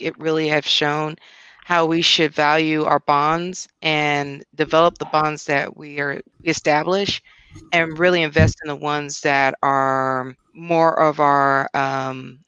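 A woman talking in casual podcast chat, measured and reflective. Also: deep voice, fairly bright tone, clear, resonant tone, no room sound, muffled recording.

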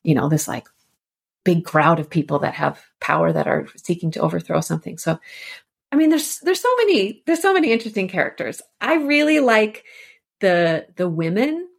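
A woman with a slightly low voice talks as an interview guest. She explains a literary work conversationally, thinking things through and showing real enthusiasm for the subject. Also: lively intonation, moderate pace, gravelly, slightly rough. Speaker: interview guest, author and editor. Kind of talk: conversational literary discussion on a podcast.

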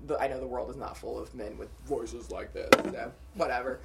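A young woman co-hosting a podcast, telling dating stories in a casual, animated way and laughing often. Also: a bass voice, a little fast, gravelly. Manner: animated storytelling with frequent laughter. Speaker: podcast co-host. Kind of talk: casual chat.

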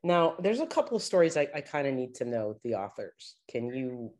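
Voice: mid-range voice, very animated, normal speed, a little mellow. An interview host asking questions in an enthusiastic, conversational way.

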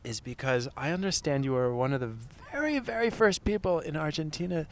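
A man interviewing a guest outdoors by a marina, curious and quick with short encouraging replies. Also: fairly high pitch, lively intonation, slight background noise.

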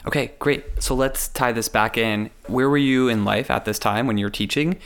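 A man's voice: mid-range voice, moderate pace, vocal fry, fairly bright tone, smooth. A man hosting a podcast, eager and a bit rambling as he introduces and questions his guest. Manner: eager, rambling, laughs easily. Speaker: podcast host. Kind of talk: enthusiastic interview chat.

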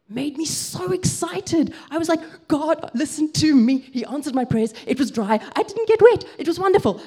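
A young woman with a South African accent, preaching and telling a personal story in a lively way, with frequent ums. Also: on the high side, husky. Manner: lively storytelling, gets excited, lots of ums.

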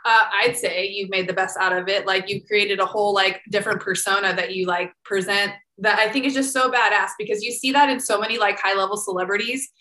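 A woman's voice: medium pitch, steady tone, fairly quick, hardly any pauses, bright, forceful tone, roomy sound, rough recording. A woman hosting a podcast, chatting casually and gushing with enthusiasm, full of "like" and "literally". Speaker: podcast host. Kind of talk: casual interview chat.

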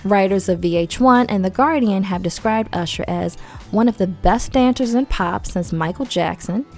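A woman's voice: medium pitch, moderate pace, a little mellow, soft background music. Congested woman hosting a chatty podcast. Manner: chatty, slangy asides. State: head cold, losing voice. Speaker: podcast host. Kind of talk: casual podcast narration.

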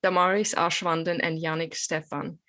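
Lower-pitched woman hosting a podcast. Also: flat delivery, normal speed, bright, forceful tone. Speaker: podcast host. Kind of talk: podcast hosting, introductions and questions.

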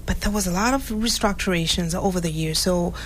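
A woman born in Haiti and raised in Montreal, telling her story as a guest in a casual interview, animated and often restarting her sentences. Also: on the low side, fairly quick, slight background noise. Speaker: podcast guest.

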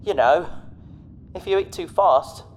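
Flustered space explorer, highish animated voice, blustery and defensive, echoey cave reverb. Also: male voice, a little slow. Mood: defensive bluster. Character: excitable, defensive, boastful male explorer in a space team. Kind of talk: sci-fi audio drama acting. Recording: reverberant cave effect with faint noise.

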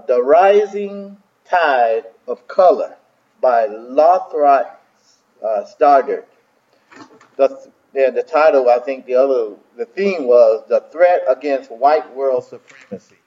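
A man's voice: mid-range voice, animated, takes it slowly. Rambling man, dull lo-fi sound. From South Carolina. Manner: rambling, repeats words, many fillers. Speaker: minister and host. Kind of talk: casual talk to listeners.

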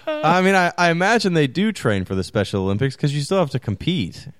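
A man in his thirties, from Maryland, co-hosts a loose podcast chat. His pitch swings up and down as he talks, and he often pokes fun at himself. The sound is dry and close, with no room echo. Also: very animated, a little fast. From Maryland, USA. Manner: laughs easily, self-deprecating. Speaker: podcast co-host. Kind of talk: casual chat.

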